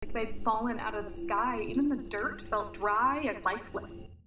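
A woman's voice: on the high side, moderate pace, echoey room, far from the mic, background noise, lightly acted. A woman playing an unsettled narrator recounting an eerie find of dead animals in an audio drama. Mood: uneasy, grave. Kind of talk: audio drama acting, first-person narration.

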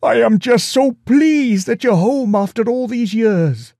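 A man's voice: mid-range voice, a little slow, darkish tone, no echo, studio quality, natural voice. A man voicing a huge, blunt, hearty pig farmer in a storybook, with rustic, emphatic phrasing. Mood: stunned, overjoyed.

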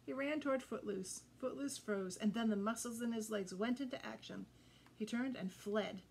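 A woman in her fifties reading a children's story aloud with expressive, deliberate phrasing. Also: a little high, creaky, a little hiss or hum, poor audio. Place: indoor room with green curtain backdrop.

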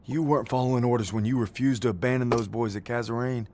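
A man's voice: medium pitch, fairly even intonation, fast talker, warm tone, a little hiss or hum, close to an everyday voice. A man playing a stubborn, earnest young American soldier in a war game, speaking defiantly. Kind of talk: cinematic war game acting.

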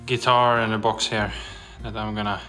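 A young adult man talking casually as a vlogger, in a halting, reflective way. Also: fairly low pitch, little pitch movement, pushed and bright, soft background music. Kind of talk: casual vlog narration.

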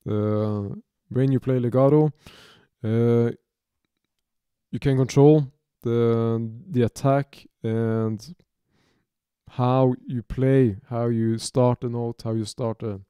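A Norwegian-accented man in his thirties walks through his studio setup at an unhurried, deliberate pace. His voice is mellow and smooth, recorded close and dry in a small home studio. He talks in a halting, off-the-cuff way, stopping and restarting his phrases. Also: medium pitch, fairly even intonation, no room sound. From Norway. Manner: halting, many restarts and fillers. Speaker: music producer demonstrating software. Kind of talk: unscripted tutorial.

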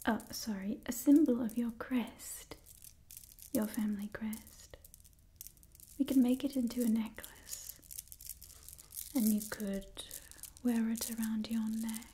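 Vampire clan matriarch for ASMR roleplay, played by a young woman: mellow breathy whisper, unhurried, imperious yet doting. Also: fairly high pitch, steady tone. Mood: coaxing, pleased. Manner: slow, deliberate, condescendingly affectionate. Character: aristocratic female vampire clan leader, coolly doting, imperious. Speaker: ASMR performer. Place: small indoor set with wooden wall. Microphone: close ASMR microphone.

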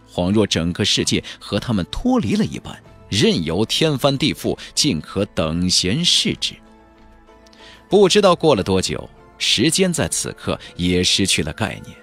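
A man narrating a fantasy audiobook in a measured, theatrical storytelling delivery. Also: on the low side, a bit bright, breathy edge. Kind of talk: dramatic scripted narration of a fantasy novel.

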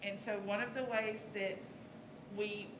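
A middle-aged woman teacher talks informally and explains as she goes, at a middle pitch. The room is noticeably echoey, and a clear low rumble sits under her masked voice at the podium microphone. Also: flat delivery, a little slow. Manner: explanatory, conversational. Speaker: elementary school teacher. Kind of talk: informal presentation to a school board. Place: school board meeting room. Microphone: podium microphone, speaking through a face mask.

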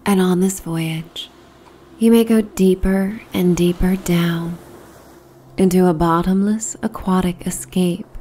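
Slow, hushed woman guiding sleep meditation. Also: lowish voice, slight background noise. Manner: soothing, hushed and breathy, unhurried. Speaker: sleep meditation host and narrator. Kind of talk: guided sleep meditation narration. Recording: soft background ambience soundscape. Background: relaxing ambient soundscape.

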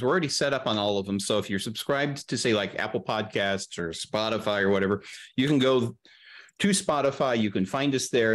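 A middle-aged man chatting casually as an interview guest, explaining production matters at length and in practical detail on a video call. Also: mid-range voice. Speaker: guest, audio drama producer. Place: indoor room, video call.

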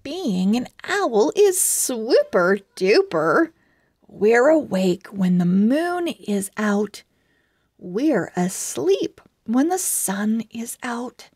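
An enthusiastic little girl owl shares her storybook diary, acted out by a woman reader. The voice is fairly high, with big lively swings in pitch and a very slow, exaggerated delivery for small children. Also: husky, no room sound, clean studio sound, full character voice. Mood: excited. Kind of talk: children's storybook read-aloud.

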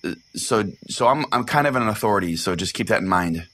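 A man's voice: lowish voice, expressive, brisk, a bit bright. A man hosting a comic call-in podcast in a mock-pompous, self-important manner. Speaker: podcast host.

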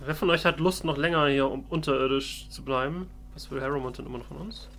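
A man's voice: medium pitch, fast, fairly bright tone, slight background noise. A man doing casual, in-character let's play commentary, musing and thinking aloud. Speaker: let's player.

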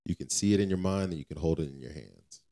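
A man's voice: extremely low pitch, fast, mellow. A man co-hosting a faith podcast, talking casually in an earnest, exhorting way and repeating phrases for emphasis. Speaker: podcast co-host.

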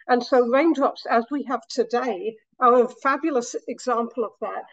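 A woman's voice: on the high side, normal speed, no room sound, lo-fi sound. Slightly scratchy woman professor lecturing. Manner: explanatory, self-deprecating, many restarts. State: slightly scratchy voice after a week of talking. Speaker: materials science professor giving a talk. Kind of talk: academic lecture. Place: lecture room.

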